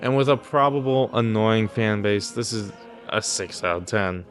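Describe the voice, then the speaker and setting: A man's voice: fairly low pitch, moderate pace, soft background music. A man hosting casual comedic video commentary, mock-serious, blunt and crude with plenty of swearing. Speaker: YouTube host.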